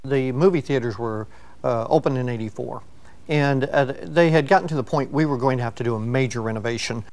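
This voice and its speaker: A man with a medium-pitched voice speaks in an interview as a venue manager, explaining business decisions. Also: brisk, a little mellow.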